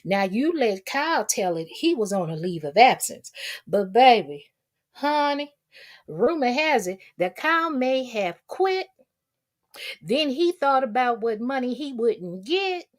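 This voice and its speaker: A high-pitched woman hosts a casual commentary channel. She talks to her viewers in a sassy, folksy way. She likes to repeat a phrase to drive it home. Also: big pitch swings, a little slow. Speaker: YouTube commentator and channel host.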